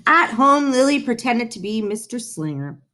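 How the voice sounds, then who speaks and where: A woman reading a children's storybook aloud in an expressive way, with dramatic pauses and playful touches. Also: on the high side. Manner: animated, unhurried, dramatic pauses, playful asides.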